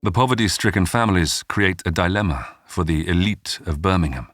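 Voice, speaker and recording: A man hosting and narrating a scripted history documentary in a measured, dramatic storytelling manner. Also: low voice, a bit bright, dry recording, clean studio sound. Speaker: documentary podcast narrator and host. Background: background music.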